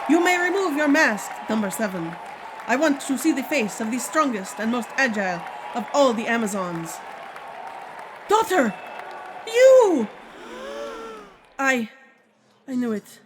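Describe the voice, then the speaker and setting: Lady playing an amazon queen mom, kinda high voice, very stately and declamatory, old radio drama style. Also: very animated, measured pace, long pauses, a crowd in the background, noisy background. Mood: shocked. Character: regal Amazon queen, protective, commanding mother. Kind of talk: 1940s radio serial acting.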